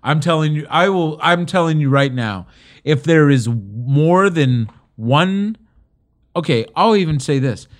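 A man's voice: a little high, animated, measured pace. A man co-hosting a casual talk show, argumentative and joking, repeating his points for emphasis. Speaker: podcast co-host. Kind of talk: casual political banter.

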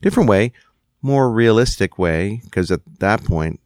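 A man's voice: fairly low pitch, expressive, unhurried, a little mellow, no echo, studio quality. A man in his sixties, a songwriter guest musing candidly in an interview, thinking aloud as he goes. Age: 60s. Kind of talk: reflective interview conversation.

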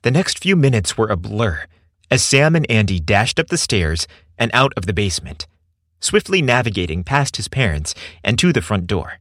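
Low-pitched male storyteller, dry sound. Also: very animated, normal speed, a bit bright, no echo. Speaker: narrator and storyteller. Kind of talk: scripted narration of a romantic story.

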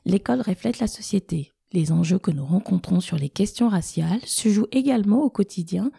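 Dark raspy-voiced woman reading an intro. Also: mid-range voice, lively intonation, no echo, studio quality. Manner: measured, earnest reading. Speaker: podcast co-host reading the introduction. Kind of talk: scripted narration.

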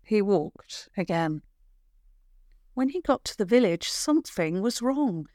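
A woman narrating a scripted children's adventure story in a measured, expressive storytelling manner. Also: medium pitch, dry recording, studio quality.

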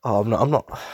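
A man chats enthusiastically on his podcast, his voice husky and worn from tiredness. He rambles with frequent ums and false starts, and his low voice sits close and dry with no room sound. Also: no echo. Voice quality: husky, throat-clearing. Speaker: podcast host. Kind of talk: casual fan chat.

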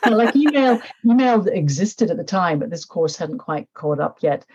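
A woman's voice: fairly low pitch, animated, moderate pace, darkish tone, husky, muffled recording. A middle-aged woman from Nepal, an author talking reflectively in a casual interview, with many fillers and restarts. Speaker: author, podcast guest.

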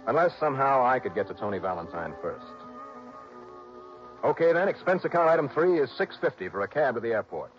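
An American man acting a brisk, hard-boiled insurance investigator in a 1960s radio drama, with clipped, insistent delivery. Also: on the low side. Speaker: lead actor and narrator of a radio detective serial. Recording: dull old radio broadcast with music.

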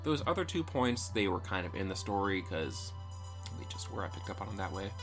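A man's voice: deep voice, animated, fairly quick. A man narrating a storybook tale in a playful, theatrical way, with music behind him.

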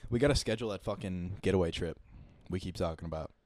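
A man's voice: sits low, fast, background noise. A young man co-hosting a podcast in a laid-back, rambling, casual chat. He swears a lot and laughs easily. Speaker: podcast co-host.

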